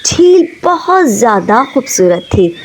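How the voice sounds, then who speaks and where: Female narrator, children's story, high voice, unhurried, some background noise. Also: big pitch swings.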